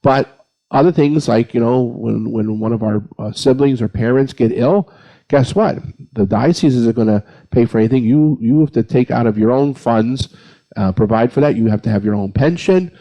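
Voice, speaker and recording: A man's voice: mid-range voice, moderate pace, warm tone, poor audio. A man speaking as a priest on a panel, giving an informal, plain-spoken explanation point by point. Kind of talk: informal explanatory answer to a question.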